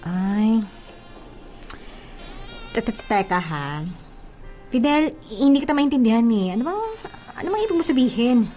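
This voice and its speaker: A flustered young housemaid in a radio-style drama, acted by a woman, chatty and prone to exclaiming. Her voice sits fairly high and swings in lively fashion. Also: unhurried, pauses often, soft background music. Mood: flustered, gentle. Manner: exclaiming, stammering when flustered.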